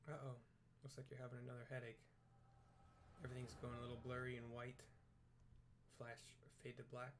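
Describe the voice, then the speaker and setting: Guy doing chill game narration, pretty monotone, feeling out descriptions as he goes, bit of background noise. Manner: low-key, improvised, halting descriptions. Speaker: game streamer describing scenes. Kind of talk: casual play-by-play visual description of a game.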